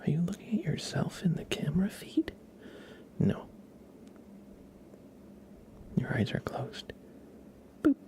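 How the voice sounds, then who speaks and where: A man chatting casually in a gentle, playful, breathy ASMR whisper as a live streamer, close to the microphone. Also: high voice, huge pitch swings, moderate pace. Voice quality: breathy whisper with soft creaky murmurs.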